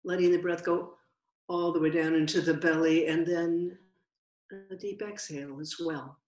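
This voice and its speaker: A woman meditation teacher giving guided meditation instruction in a gentle, unhurried way with long pauses. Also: lowish voice, steady tone. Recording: roomy, echoing sound.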